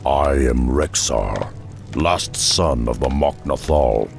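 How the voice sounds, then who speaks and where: An actor playing a world-weary, brooding half-orc, half-ogre warrior in a video game, speaking slowly and solemnly in a gravelly voice. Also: male voice, rumbling low, full character voice. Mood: grave, respectful. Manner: brooding, solemn, slow-spoken. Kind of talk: video game cutscene acting. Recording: music behind the voice.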